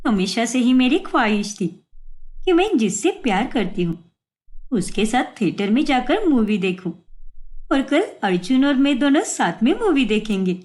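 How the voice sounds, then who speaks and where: A woman acts out a young woman in love, demanding and quick to flare up, for a cartoon moral tale. The voice is high and very animated, with dramatic emphasis on her feelings. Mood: dreamy, excited. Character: lovestruck, demanding, hot-tempered young woman. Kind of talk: animated moral story acting.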